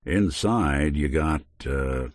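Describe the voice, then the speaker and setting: An actor voicing a world-weary, middle-aged robot detective in a video game, with an American accent and dry, sardonic, old-fashioned private-eye slang. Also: male voice, a bass voice, slow pace, no echo. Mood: casual, alert. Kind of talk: film noir private-eye game acting.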